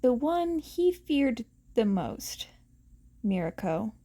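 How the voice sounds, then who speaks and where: A woman reading fan fiction aloud in an expressive storytelling style. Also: a little high, takes it slowly.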